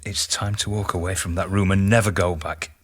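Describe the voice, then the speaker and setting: A man's voice: deep voice, steady tone, brisk, breathy edge. An actor playing a pragmatic, weary and exasperated male scientist in a radio drama. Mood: resigned, exasperated. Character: exasperated, pragmatic male colleague on research team.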